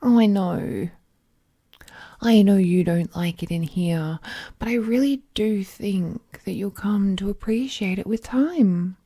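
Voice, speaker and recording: A woman playing a ruthless, possessive, all-powerful being in an intimate audio drama, speaking with languid, condescending affection and often dropping into a breathy whisper. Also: medium pitch, slow, dark tone. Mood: coaxing, condescending.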